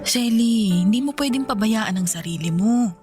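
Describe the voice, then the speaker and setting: A woman's voice: fairly high pitch, lively intonation, moderate pace, over loud music, close to an everyday voice. A woman with a Filipino accent playing a concerned, consoling friend in a pleading, soothing radio drama style. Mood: worried pleading.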